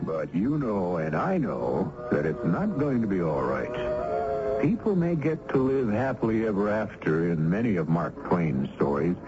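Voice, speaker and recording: A man's voice: low voice, very animated, measured pace, music in the background. A man hosting a radio drama with scripted narration, knowing and theatrical. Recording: muffled, noisy old radio recording.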